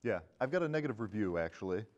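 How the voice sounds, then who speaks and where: A man's voice: on the low side, animated, racing, darkish tone, dull audio. A man hosting a podcast in a conversational film discussion. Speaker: podcast host.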